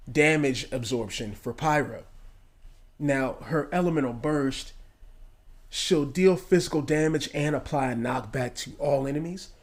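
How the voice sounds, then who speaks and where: A man giving casual game commentary, informal and thinking aloud as he goes. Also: mid-range voice. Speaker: gaming video creator.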